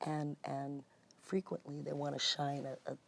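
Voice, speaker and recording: A woman musician telling her story in a relaxed interview, animated and chatty, calling people "man.". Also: deep voice, normal speed, gravelly, slight background noise. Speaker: guest musician and vocalist. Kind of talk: casual interview chat.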